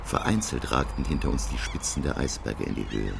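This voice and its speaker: An actor playing an earnest professor who recounts a sea voyage in measured, dramatic radio play narration with a gravelly voice. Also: male voice, very deep voice, background noise, full character voice. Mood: earnest, absorbed. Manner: measured, storytelling. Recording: loud sound effects behind the voice.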